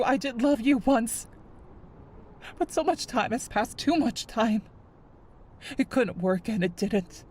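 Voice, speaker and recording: A woman's voice: mid-range voice, darkish tone, a little hiss or hum. An actress playing a weary, grieving mother in a video game, leaving a pleading yet resolute voicemail. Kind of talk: dramatic voicemail monologue in a game.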